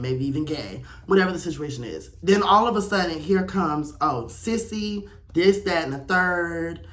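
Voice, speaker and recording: A man's voice: fairly high pitch, fairly bright tone. A young man with a blunt, opinionated, slangy way of talking, giving casual solo commentary as he reads aloud and reacts. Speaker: host of a personal video channel.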